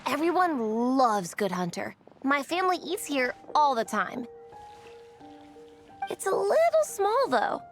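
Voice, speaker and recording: A woman's voice: high voice, sing-song, takes it slowly, faint music behind, exaggerated voice. A woman playing a friendly, slightly hesitant young local in an anime-style game.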